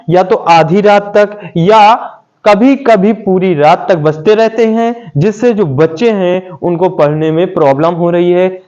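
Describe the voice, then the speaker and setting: A man's voice: high-pitched, a little mellow. A man with a North Indian accent giving an explanatory lesson as a teacher, repeating points and asking questions that he answers himself. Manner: repetitive, asks and answers own rhetorical questions. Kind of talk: explanatory lesson, English phrases glossed in Hindi. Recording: dull lo-fi audio.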